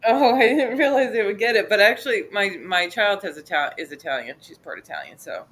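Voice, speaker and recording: A woman's voice: medium pitch, brisk, fairly bright tone. A woman hosting a casual live hangout, chatting informally. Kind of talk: casual chat. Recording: clear background noise.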